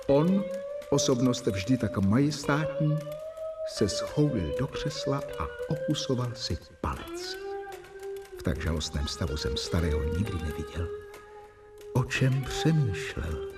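An actor playing a stern, volatile doctor who both narrates and acts in a radio drama. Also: male voice, lowish voice, very animated, pauses often, over loud music. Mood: reflective narration. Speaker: narrator and character.

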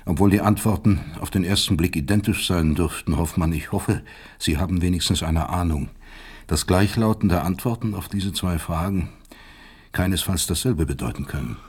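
Deep, husky, bitter professor. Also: male voice. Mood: cold, sardonic. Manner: deliberate, rhetorical, emphatic, sardonic. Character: bitter, brooding East German male doctor and professor. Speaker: actor. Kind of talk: German radio drama acting, dialogue and inner monologue.

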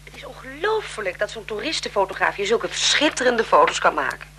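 Bright, prim, emphatic governess. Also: female voice, mid-range voice, very animated, brisk. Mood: enthusiastic, emphatic. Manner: emphatic, earnest, respectful. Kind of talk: 1970s Dutch radio play acting. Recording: 1970s radio drama with faint noise.

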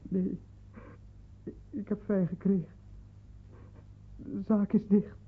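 A narrow, phone-like old radio recording. An earnest, headstrong young son speaks with a slightly rough voice in a family radio drama. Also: male voice, high voice, flat delivery, takes it slowly, full character voice. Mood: shaken.

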